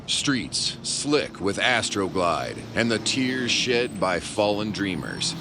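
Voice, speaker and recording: A man's voice: fairly low pitch, big pitch swings, slow, exaggerated voice. A man playing a brooding noir narrator, reading scripted narration for an audio drama. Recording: street and car noise behind.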